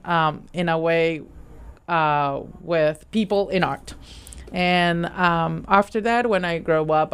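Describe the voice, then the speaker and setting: Smooth-voiced woman, hesitant. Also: fairly low pitch. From Venezuela. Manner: hesitant, searching for words, many restarts. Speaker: guest, nonprofit art director. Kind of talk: casual interview talk.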